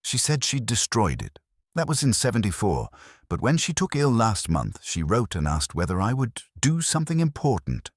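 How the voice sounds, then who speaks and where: A man voicing a prim, guarded older gentleman in a stiff, formal, reticent manner for a mystery story. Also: low voice, no echo, studio quality.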